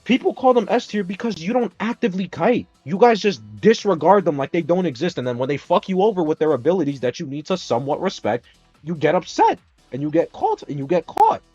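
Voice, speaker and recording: A man hosting a casual game stream, talking fast and heated as he defends his picks. He swears freely and presses his point. The sound is a little dull, with faint music underneath. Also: high-pitched, slightly rough, no echo. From Dominican Republic. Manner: animated, profane, argumentative ranting. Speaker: streamer host. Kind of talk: casual gaming stream chat.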